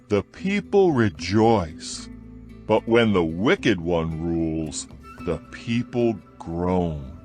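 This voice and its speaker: A man reading scripture aloud in a dramatized Bible recording, with a slow, measured and solemn delivery. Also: lowish voice. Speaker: scripture reader. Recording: soft music bed behind voice. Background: faint background music.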